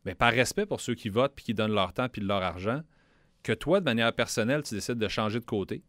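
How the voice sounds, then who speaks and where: A man's voice: mid-range voice, fast talker, fairly bright tone, no echo, studio quality. A man with a Quebec French accent, a member of parliament giving a casual radio interview, earnest and often repeating words as he starts sentences. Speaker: federal member of parliament, guest.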